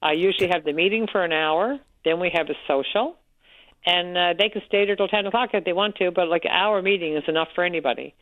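A woman with a Newfoundland accent calling in to a radio show, loud-voiced and frank, talking on and on. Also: on the low side, quick pace, no echo. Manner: frank, talkative storyteller. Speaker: caller, support group organizer. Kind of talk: radio call-in chat. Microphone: telephone. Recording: tinny phone line.

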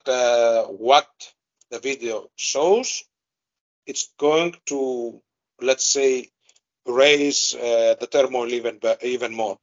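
A man from Greece with a Greek accent, speaking as a guest expert in a podcast interview and pausing often with fillers and restarts. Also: mid-range voice, a bit bright, lo-fi sound. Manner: hesitant, many fillers and restarts.

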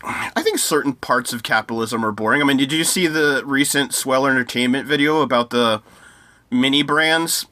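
Bright-voiced sarcastic man ranting. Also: mid-range voice, moderate pace, no echo. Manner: ranting, trailing restarts, sarcastic. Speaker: podcast co-host. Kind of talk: casual chat.

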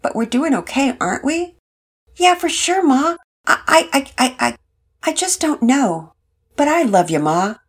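A woman's voice: sits high, animated, unhurried, pitched above the performer's own voice. A woman voicing an earnest, eager teenage boy who stammers when moved. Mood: pleading. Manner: enthusiastic, stammering.

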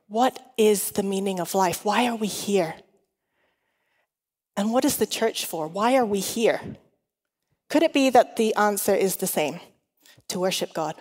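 A woman's voice: mid-range voice, a little mellow. A middle-aged woman with a mixed, shifting international accent, preaching conversationally with chatty, self-deprecating humour. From Hong Kong. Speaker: guest preacher. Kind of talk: conversational sermon to a congregation. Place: large reverberant hall. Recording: long hall reverb.

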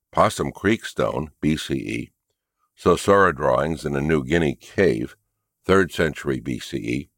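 A man with an extremely deep, low voice narrates a nonfiction book chapter. The delivery is scripted read-aloud narration, and the recording is dry and close, free of room sound. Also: dry recording. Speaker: audiobook and podcast narrator.

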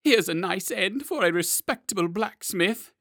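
A frightened blacksmith, as a male narrator puts him on, his voice jumping up high and shaking with nerves. His pitch swings widely as he stammers. The sound is close and dry. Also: lively intonation, moderate pace, gravelly, pushed and bright, no echo, exaggerated voice. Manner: high, shaky and nervous. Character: timid middle-aged male blacksmith, trembling.

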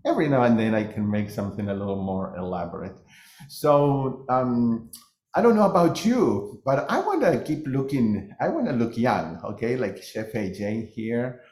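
A man in his fifties, a guest cook demonstrating a recipe in a halting, casual way. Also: medium pitch, expressive, a little mellow, reverberant. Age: 50s. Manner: halting, many fillers and restarts.

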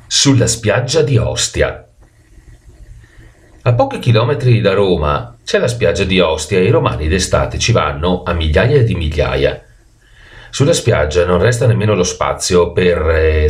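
A man reading a children's story expressively, with animated delivery and the odd stumble over words. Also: low voice, measured pace.

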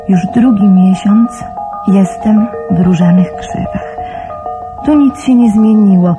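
An actress playing a quiet young woman who narrates a letter in a radio drama, gentle and reflective. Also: medium pitch, natural voice. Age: young adult.

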